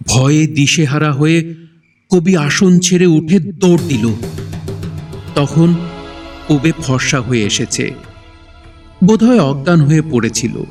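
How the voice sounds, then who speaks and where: A man narrating a horror audio drama in a dramatic, suspenseful style with deliberate phrasing. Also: on the high side, a little mellow, far from the mic, soft background music.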